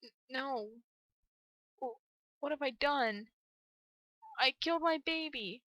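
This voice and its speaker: A woman's voice: mid-range voice, little pitch movement, a little slow, sharp tone, no room sound, lo-fi sound. A woman voicing a distraught, haunted parent telling a horror tale in a dramatic, mournful reading. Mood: anguished.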